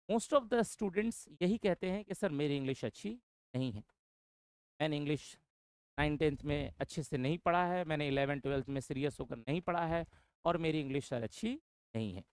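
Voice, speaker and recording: A man's voice: on the high side, clean tone, no echo, studio quality. A man introducing an English course to exam students, repeating his points and often calling them brother. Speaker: English teacher.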